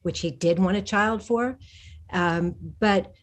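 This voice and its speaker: A woman author in an interview, answering thoughtfully with frequent restarts and pauses. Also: mid-range voice. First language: English. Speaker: historical fiction author, guest.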